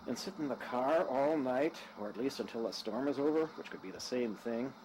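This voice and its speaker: A man acts a young husband stranded on his travels in an audio drama. He is easygoing, polite and a little wry. His voice sits at a middle pitch over faint noise. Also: animated, a little fast, darkish tone, lightly acted, low-quality recording. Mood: wry, casual.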